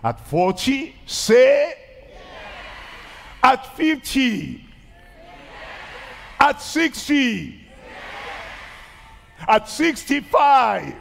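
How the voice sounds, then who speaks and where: A man's voice: extremely high pitch, sing-song, very slow, vocal fry, pauses often, a little hiss or hum. An older man with a Nigerian accent preaching an emphatic crusade sermon into a headset mic on a large outdoor stage. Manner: emphatic preaching, rising to shouted declarations. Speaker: pastor.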